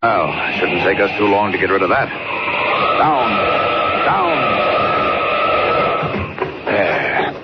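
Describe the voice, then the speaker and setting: An American man playing an earnest, commanding superhero reporter in a crisp 1940s radio serial style. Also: low-pitched, big pitch swings, takes it slowly, pauses often, heavily acted. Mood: determined, powerful. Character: earnest, urgent young newspaper reporter, secretly a mighty superhero. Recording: muffled noisy 1940s radio recording.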